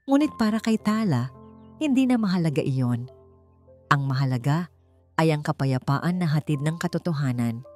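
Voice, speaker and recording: A woman's voice: deep voice, lively intonation, normal speed, pauses often, warm tone. A woman with a Filipino accent reading a scripted, dramatic romance story as narrator. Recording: music bed under the voice. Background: background music.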